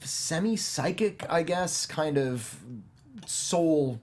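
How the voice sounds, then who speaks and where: A man's voice: on the high side, lively intonation, extremely slow, a little mellow. A man hosting a casual panel film review, talking in an analytical, discursive way. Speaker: film critic and panel host.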